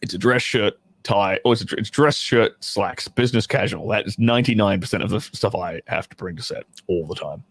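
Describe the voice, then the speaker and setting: A man's voice: fairly low pitch, brisk, few pauses, slightly rough, dry recording. Casual man chatting on a dry-sounding livestream. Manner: informal, bantering, mildly sweary, thinking aloud. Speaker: adult film actor reading a script to viewers. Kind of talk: casual livestream chat with script reading.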